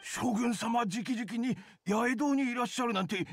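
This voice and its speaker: A man's voice: very high-pitched, moderate pace, a little hiss or hum. A man voicing a nervous, deferential bookshop editor in a Japanese game, very polite, flustered and hesitant.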